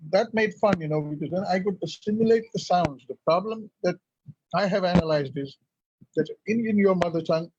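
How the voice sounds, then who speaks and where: A man's voice: fairly high pitch, darkish tone. A man from India whose first language is Urdu, telling stories as a guest in a conversational interview. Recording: lo-fi, dull sound.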